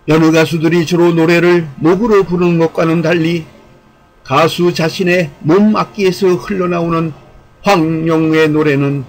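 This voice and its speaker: A man reading a scripted commentary as narrator, in formal, measured phrasing. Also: fairly high pitch, rough recording.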